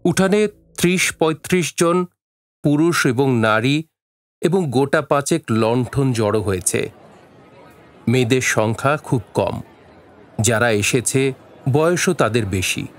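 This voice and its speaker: A man's voice: mid-range voice, animated, slow pace, pauses often. A man narrating a scripted story for an audio drama, in a literary storytelling style.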